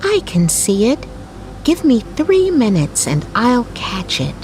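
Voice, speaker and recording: A woman voicing a determined, coldly cheerful young lady in a storybook, brisk and matter-of-fact about cruelty. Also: on the high side, wildly swooping pitch, darkish tone, soft background music, pitched above the performer's own voice, exaggerated voice. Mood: eager, dismissive. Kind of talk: storybook character voice.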